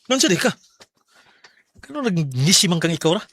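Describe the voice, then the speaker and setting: A brash, teasing buddy in a radio drama, with a Cebuano Bisaya accent. The delivery is excitable and very animated, with a bright, forceful tone. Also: male voice, sits high, normal speed, slightly rough, heavily acted. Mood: excited, curious. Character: brash, teasing buddy urging friend to court.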